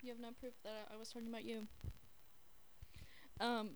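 A young woman with a fairly high voice co-hosts an informal podcast. She tells anecdotes conversationally, quoting herself and others with plenty of "like". The amateur recording carries some faint noise. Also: flat delivery, normal speed, poor audio. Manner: informal, heavy use of "like" when quoting. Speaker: podcast co-host. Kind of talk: casual chat, telling anecdotes.

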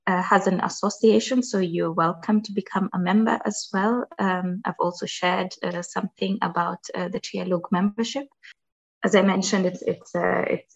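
A woman, a journal editor, giving unhurried, explanatory closing remarks on an online panel. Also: on the low side, creaky, husky, highs cut off. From Kenya.

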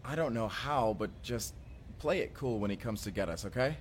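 A man playing an excitable, friendly companion in a science fiction audio roleplay, speaking casually and expressively. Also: mid-range voice, normal speed, noisy background, close to an everyday voice. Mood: puzzled, uneasy. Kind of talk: immersive first-person audio roleplay acting.

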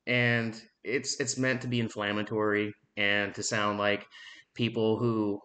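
A man in his thirties giving a blunt, frustrated opinion monologue on his own podcast, swearing freely and pausing often on "um.". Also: on the low side, little pitch movement, sharp tone. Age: 30s. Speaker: podcast host.

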